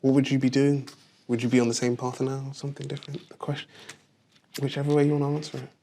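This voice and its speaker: A man's voice: medium pitch, completely flat delivery, fast talker, mellow, a little hiss or hum. Upbeat young podcast host, man. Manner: upbeat, with many "uh" fillers. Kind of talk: friendly podcast hosting.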